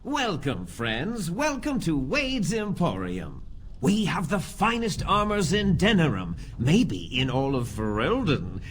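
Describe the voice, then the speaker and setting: A man's voice: fairly high pitch, huge pitch swings, takes it slowly, a bit bright, a little hiss or hum, full character voice. A man playing an obsequious, fussy armor shop assistant in a video game, with a formal, fawning manner. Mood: welcoming.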